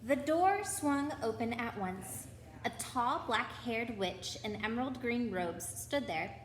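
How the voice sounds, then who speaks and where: A woman with a fairly high voice reads a storybook aloud to children, chatting to them as she goes. The room is clearly echoey and there is some background noise. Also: expressive, slow pace. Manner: chatty asides to young listeners.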